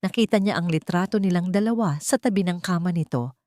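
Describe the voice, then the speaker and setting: Low-voiced woman, somber story narration. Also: fairly quick, dark tone, no echo, studio quality.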